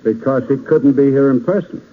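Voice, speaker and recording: A man's voice: mid-range voice, moderate pace, phone-quality sound, natural voice. A man playing the patient, slightly exasperated adult humoring a pestering child in 1930s radio comedy, speaking politely. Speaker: radio show host playing comic straight man. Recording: thin, noisy 1930s radio broadcast.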